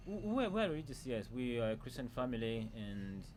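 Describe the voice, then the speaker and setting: A man from Zambia, a guest on a podcast, answering questions in a measured, polite way about his upbringing. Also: mid-range voice, sing-song, darkish tone. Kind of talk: interview answers. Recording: audible background noise.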